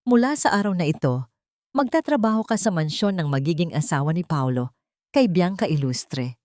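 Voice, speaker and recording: Woman acting a cruel, haughty matriarch, lower-pitched. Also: lively intonation, a little mellow, no echo, clean studio sound, close to an everyday voice. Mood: menacing. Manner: menacing, commanding, measured. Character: cruel, haughty matriarch passing a harsh sentence.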